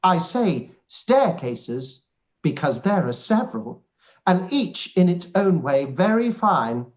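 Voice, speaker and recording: A man's voice: sits high, big pitch swings, slow, exaggerated voice. A man playing a pompous, obsequious clergyman in a radio play, wordy and effusive. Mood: fawning, proud. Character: pompous, fawning male clergyman cousin, self-satisfied and obsequious. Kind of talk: radio play live read.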